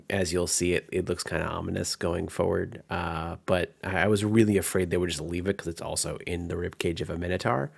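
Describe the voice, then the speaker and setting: A man's voice: low-pitched, gravelly. A man working as game master, narrating and commenting on tabletop roleplay in an informal, self-reflective way.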